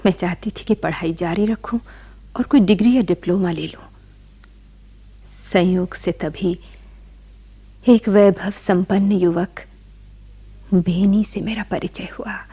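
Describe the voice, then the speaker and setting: A gentle, bashful young wife from a village, in a radio drama. Her voice is mellow and soft with a husky breathiness. She speaks with feeling, in a tender, emotive way. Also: mid-range voice, steady tone, slow pace, pauses often. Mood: sorrowful, reflective. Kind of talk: Hindi radio drama acting.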